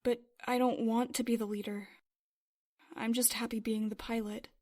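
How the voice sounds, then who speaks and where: A woman's voice: a little high, level tone, normal speed, a little mellow, close to an everyday voice. A woman playing a worried, self-doubting starship pilot in a roleplay series, earnest and hesitant. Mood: reluctant, hesitant. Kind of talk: Minecraft roleplay web series voice acting.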